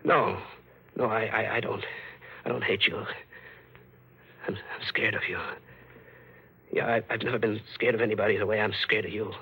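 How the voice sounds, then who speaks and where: An actor playing a hard-boiled, frightened fugitive gangster in 1940s radio thriller style, with stammering, slangy tough-guy talk and a rough edge. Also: lowish voice, steady tone, normal speed, long pauses, bright, forceful tone, exaggerated voice, low-quality recording. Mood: scared. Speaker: actor narrating and playing the lead. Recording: old radio recording with music.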